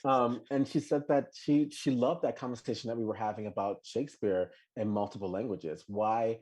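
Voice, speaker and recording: A man co-hosting a podcast in a casual live chat, talking animatedly with many restarts and high laughing outbursts. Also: fairly even intonation, normal speed, darkish tone. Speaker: podcast co-host.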